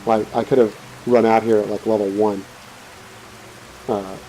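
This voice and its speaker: A man's voice: on the low side, flat delivery, unhurried, dark tone, a little hiss or hum, poor audio. A man giving casual, rambling, laid-back commentary as he hosts a let's play. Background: game music and rain.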